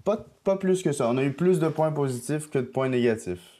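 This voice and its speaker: A man from Montreal with a Québécois accent, talking in a relaxed, laid-back way in an interview. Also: a little high, brisk, no echo.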